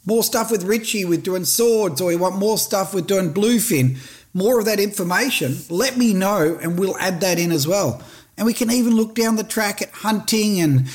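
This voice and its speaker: A middle-aged Australian man hosting a fishing podcast, talking in a blunt, passionate and plain-spoken way. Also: high voice. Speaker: fishing show host. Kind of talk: casual podcast talk.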